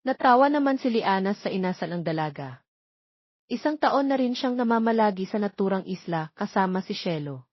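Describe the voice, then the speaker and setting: Casting: female drama narrator, mid-pitched scripted storytelling, very dry booth sound. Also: no room sound, highs cut off.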